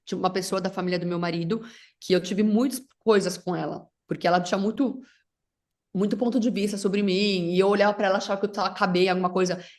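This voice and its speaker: A woman's voice: mid-range voice, fairly even intonation, quick pace, a little mellow. A middle-aged woman whose first language is English, talking casually as a facilitator guest, rambling with restarts and English words mixed in. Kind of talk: casual conversation.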